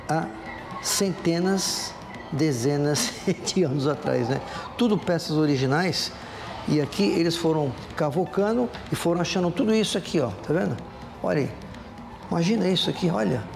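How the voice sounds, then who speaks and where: A man's voice: fairly high pitch, vocal fry, noisy background. A Brazilian man in his sixties, hosting a travel vlog with effusive, exclamatory narration, calling out to viewers as he tours a museum crypt and a city street. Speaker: TV host on a travel segment.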